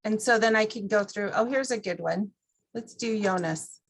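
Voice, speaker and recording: A woman presenting an informal software walkthrough to a class, explaining things step by step in a conversational way. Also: mid-range voice, moderate pace, low-quality recording.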